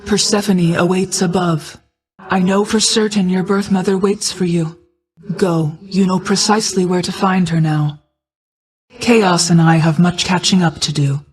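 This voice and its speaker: A woman's voice: on the low side, fairly even intonation, darkish tone, close to an everyday voice, poor audio. Woman voicing a solemn, regal goddess of night. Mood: composed, encouraging. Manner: measured, formal, stately, deliberate. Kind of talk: scripted video game character lines.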